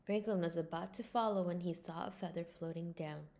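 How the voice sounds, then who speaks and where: A woman reading a scripted children's storybook aloud in an expressive way. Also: on the low side, a little fast. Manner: expressive, acts out character lines. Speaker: storybook reader.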